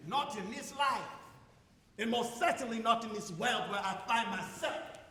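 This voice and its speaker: Man acting an ageing, oratorical schoolteacher, strikingly high-voiced. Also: bright, forceful tone, slight background noise, exaggerated voice. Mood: rueful. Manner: declamatory, oratorical, emphatic pauses. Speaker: drama student performing a monologue. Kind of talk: theatrical stage monologue. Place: large ceremony hall stage. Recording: echoey hall, distant stage sound.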